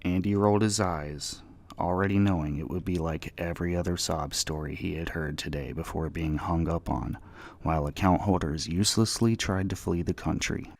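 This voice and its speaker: A man's voice: deep voice, moderate pace, no echo. A man narrating fiction as a dramatic scripted audiobook reading.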